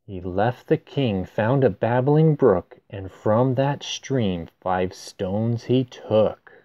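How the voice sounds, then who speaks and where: Slow-paced man reading a picture book. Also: on the low side, warm tone, no echo, lo-fi sound. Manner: simple explanations addressed to children. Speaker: storyteller. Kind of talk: children's picture book read-aloud with casual asides.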